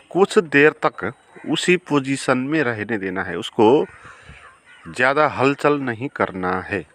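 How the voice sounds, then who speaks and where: A man guiding a meditation in a calm, unhurried way, with long pauses between short phrases. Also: mid-range voice. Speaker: meditation guide. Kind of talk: guided meditation.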